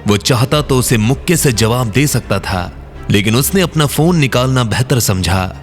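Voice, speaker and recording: Mid-pitched male story narrator. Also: expressive, moderate pace, faint music behind.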